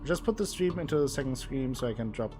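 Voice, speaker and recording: A man's voice: expressive, fast. Playful man reading game text over music. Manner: playful, reads narration with exaggerated pitch swings. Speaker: gameplay streamer. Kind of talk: let's play commentary, reading game text aloud. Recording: music playing underneath.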